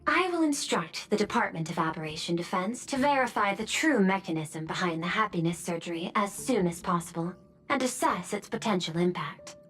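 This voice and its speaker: A woman plays a coolly diplomatic government official in a game. Her mid-pitched voice is formal, precise and composed, with music underneath. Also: a bit bright, natural voice. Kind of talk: video game voice acting.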